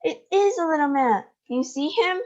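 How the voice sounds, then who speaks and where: A woman's voice: sits high, big pitch swings, moderate pace, darkish tone, husky, pitched above the performer's own voice, full character voice. Woman doing an eager young boy. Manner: eager, wide-eyed. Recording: dull lo-fi recording.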